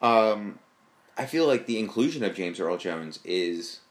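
A man co-hosting a podcast, chatting casually and enthusiastically about films, with a deep voice and lots of fillers. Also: expressive, measured pace. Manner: rambling, enthusiastic fan talk with fillers. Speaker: podcast co-host. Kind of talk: casual chat.

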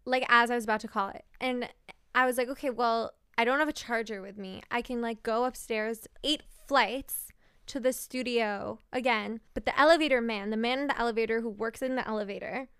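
A woman's voice: a little high, fairly quick, fairly bright tone, smooth, dry recording, studio quality. A young woman co-hosting a podcast, telling a rambling story in casual chat, full of fillers and laughs. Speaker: podcast co-host.

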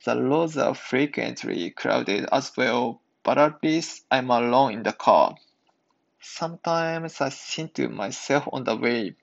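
A man speaks very slowly in a gravelly, creaky voice. He sounds like a careful, halting English learner doing reading practice for a podcast. The recording is dull and lo-fi. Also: medium pitch. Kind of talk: reading aloud practice and simple podcast talk.

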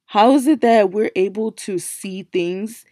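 A young woman hosting a casual solo podcast, her voice still low and worn from recently losing it, chatty and emphatic, circling back to repeat her points. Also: unhurried, mellow, dry recording, studio quality. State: recovering from a lost voice, speaking low. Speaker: podcast host.

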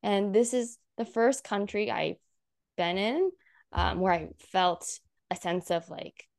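A woman's voice: mid-range voice, takes it slowly, creaky. A young woman from the United States, a content creator chatting as a guest, rambling with lots of "like" fillers and laughing often. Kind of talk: casual chat.

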